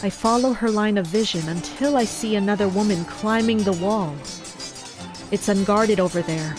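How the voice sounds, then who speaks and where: A woman's voice: medium pitch, moderate pace, natural voice. A woman playing a tense, commanding castle fighter in a first-person dramatic narration. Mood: tense, watchful. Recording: music behind the voice. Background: music.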